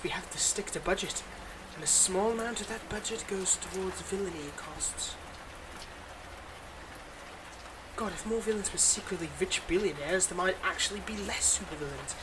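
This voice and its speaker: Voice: medium pitch, close to an everyday voice. Performer acting a theatrical teasing supervillain, noisy recording. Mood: mocking, exasperated. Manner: mocking, dramatic, addresses an unheard hero listener. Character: theatrical, teasing supervillain, smug and playfully exasperated. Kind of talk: scripted ASMR audio roleplay.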